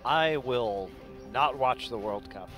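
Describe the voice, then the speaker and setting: A man's voice: mid-range voice, slow, fairly bright tone, soft background music. A man commentating on esports in casual banter, chuckling often and teasing drily.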